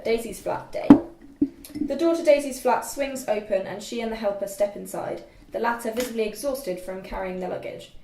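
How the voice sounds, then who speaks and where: A woman's voice: mid-range voice, darkish tone. A young woman reading out screenplay stage directions as scripted narration. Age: young adult. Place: indoor room at a group read-through. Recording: echoey room, distant mic, faint noise.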